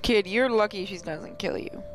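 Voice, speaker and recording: A woman's voice: mid-range voice, moderate pace, soft background music, low-quality recording. A woman hosting a gaming channel, reading a visual novel aloud with playful, sarcastic asides.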